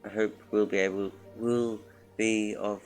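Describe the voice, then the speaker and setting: A man streaming a video game, reading along and commenting in a low-key, muttering way. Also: level tone, a little slow. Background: game music.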